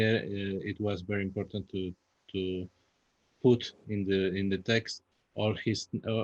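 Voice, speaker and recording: A man with a Spanish accent answering panel questions in English as a second language, halting and repeating himself as he searches for words. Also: fairly low pitch. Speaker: playwright guest. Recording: dull lo-fi, echoey room sound.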